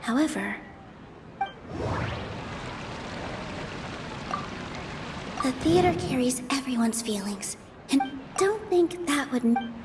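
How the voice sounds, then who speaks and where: An actress playing a gentle but determined young theater performer, speaking earnestly and carefully in video game dialogue. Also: female voice, sits high, lively intonation, moderate pace. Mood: careful, thoughtful. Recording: music behind the voice.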